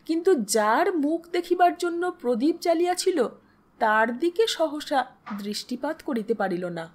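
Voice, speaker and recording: High-pitched woman narrating a literary story. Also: a little mellow.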